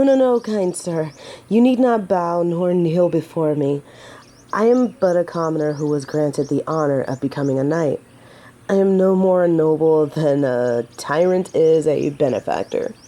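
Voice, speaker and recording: A woman's voice: deep voice, dark tone. A woman playing a noble, humble lady knight in an audio drama, courteous and protective, with formal, old-fashioned courtly phrasing. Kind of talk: scripted roleplay audio drama to the listener.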